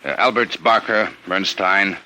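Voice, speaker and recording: A man's voice: low voice, unhurried, sharp tone, slight background noise, full character voice, low-quality recording. An American man in a vintage radio drama playing a dutiful, deferential army major with a businesslike delivery on an old recording.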